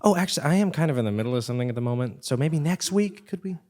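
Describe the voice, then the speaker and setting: Man as an animated, anxious clock repairman. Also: mid-range voice, rapid-fire, natural voice. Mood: awkwardly polite. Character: affable, anxious clock repairman leading rebels. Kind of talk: comic stage play acting before a live audience.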